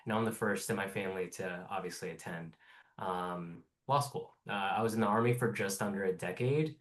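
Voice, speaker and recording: Man, 30s, low voice, straightforward, panel intro. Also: fairly quick. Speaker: panelist, law student.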